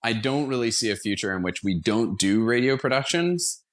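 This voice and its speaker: A man's voice: mid-range voice, lively intonation, normal speed. An American man giving earnest, conversational interview answers as a guest, repeating words for emphasis. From Washington, D.C., United States. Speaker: guest, theater company founder.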